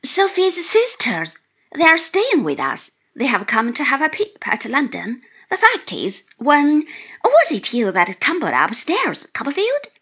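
A woman voicing a cheerful, excitable, good-natured young man who gushes with delight, in an audiobook reading. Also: high-pitched, measured pace, dull audio, exaggerated voice. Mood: chatty. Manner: gushing, effusive exclamations.

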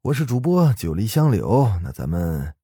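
A man's voice: lowish voice, moderate pace, breathy edge, no room sound, studio quality. A man hosting and narrating a ghost story in a dramatic, suspenseful manner. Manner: suspenseful, expressive. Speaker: host and storyteller.

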